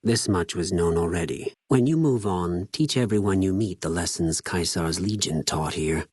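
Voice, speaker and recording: An actor playing a cold, zealous, contemptuous legion spy in a video game, speaking formally with measured condescension. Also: male voice, sits low, darkish tone, husky, no echo, studio quality. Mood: contemptuous menace. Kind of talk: scripted video game character dialogue.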